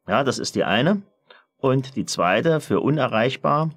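A man presenting a software screencast tutorial, explaining methodically step by step in a semi-spontaneous walkthrough. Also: mid-range voice, animated, measured pace.

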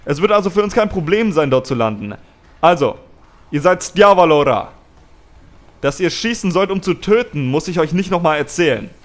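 A man's voice: fairly high pitch, expressive, normal speed, a little hiss or hum, close to an everyday voice. A man playing a confident, self-assured military commander with a clipped, commanding manner in an audio drama. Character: confident, cocky military commander briefing his soldiers.

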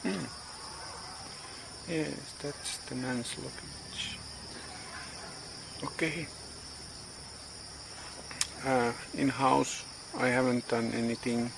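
A man in his forties vlogging casually outdoors on a farm, speaking in halting English and searching for words, recorded on a handheld phone. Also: medium pitch, steady tone, gravelly, noisy background. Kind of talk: casual vlog narration. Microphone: phone camera at arm's length. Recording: outdoor ambience with insects and animals.

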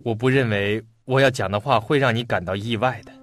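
An actor playing a serious, guarded young suitor in a radio drama, speaking gravely and deliberately. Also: male voice, medium pitch, no room sound, studio quality, lightly acted. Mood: tense, earnest. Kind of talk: Mandarin radio drama acting.

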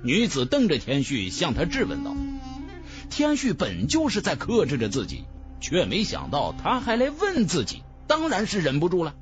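A male audiobook narrator tells a fantasy tale with dramatic flair. His voice sits fairly high and moves very widely in pitch, with soft music behind him. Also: normal speed. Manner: dramatic, expressive storytelling. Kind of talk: scripted fantasy novel narration. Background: background music.

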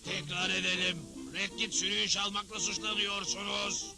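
A man's voice: sits high, flat delivery, moderate pace, vocal fry, music in the background. A man playing a pompous, greedy old frontier judge in a dubbed cartoon, declaiming officiously. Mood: officious, brazen. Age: older.

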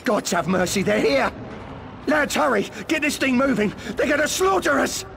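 An actor playing a frightened, deferential human soldier in a video game, urgent and panicky over a noisy radio. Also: male voice, extremely high pitch, big pitch swings, normal speed, gravelly, exaggerated voice. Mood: panicked. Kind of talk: video game cutscene acting.

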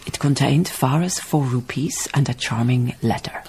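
An actress in a radio drama playing a dignified, thoughtful baroness, telling her tale in measured literary phrases. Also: a bass voice, a little mellow, slight background noise. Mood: storytelling. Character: reflective, dignified baroness, storytelling colonial farm owner. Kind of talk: radio drama acting and narration.

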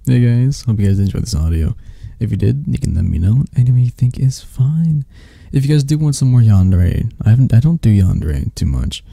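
A man's voice: mid-range voice, very animated, a little fast, gravelly, hardly any pauses, warm tone, breathy edge, no echo. A man, an ASMR performer, talking casually and directly to his listeners.

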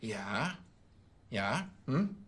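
Ein Schauspieler spielt einen polternden, leicht verwirrten Polizeichef mittleren Alters, der stockt und viele Füllwörter benutzt. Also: male voice, medium pitch, sing-song, very slow, a bit bright, heavily acted. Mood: grumbling. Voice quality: blustery, stumbling, with many fillers. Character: grumbling male police chief, middle-aged, blustery. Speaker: police chief.

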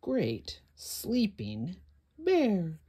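A woman's voice: mid-range voice, wildly swooping pitch, drawn-out words, mellow, breathy edge. A woman hosting a children's story time, reading aloud in a dramatic, suspenseful way with whispers and gasps.

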